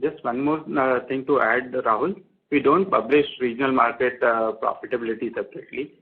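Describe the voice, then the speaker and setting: A male company executive adds clarifications on a conference call. His voice is middling in pitch and husky, and the phone line makes it narrow. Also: steady tone, brisk.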